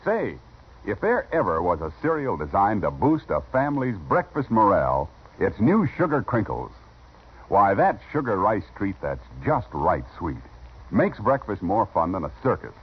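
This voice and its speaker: An American male announcer in vintage radio style. His lowish voice swoops dramatically in pitch and has a very rough, raspy edge. The broadcast recording is muffled. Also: big pitch swings, moderate pace. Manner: emphatic salesman delivery. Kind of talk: 1950s radio announcing, scripted.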